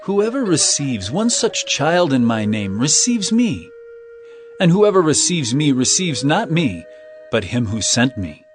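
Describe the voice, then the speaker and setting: A man's voice: mid-range voice, sing-song, measured pace, faint music behind. An actor playing a stern, authoritative male teacher, speaking solemnly and with commanding emphasis in a dramatized scripture reading. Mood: solemn teaching.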